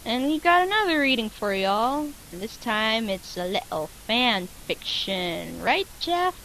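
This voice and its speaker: Female comic narrator, high voice, playful dramatic delivery, faintly hissy home recording. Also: sing-song, measured pace, pushed and bright, low-quality recording. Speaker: co-host and story narrator. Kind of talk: comic dramatic reading of fan fiction.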